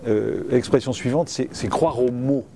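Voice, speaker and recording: A man's voice: mid-range voice, normal speed. A man interviewing a writer, giving short prompts with hesitant fillers. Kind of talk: interview questions. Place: festival, recorded on location. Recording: noisy location recording.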